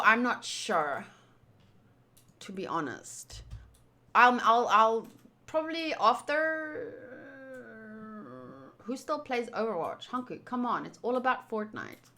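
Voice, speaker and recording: A woman with a high, very bright voice hosts a game stream. She talks casually to her chat, animated and playful, and likes to tease. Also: a little slow, pauses often. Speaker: streamer hosting a game playthrough. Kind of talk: casual livestream chat to viewers.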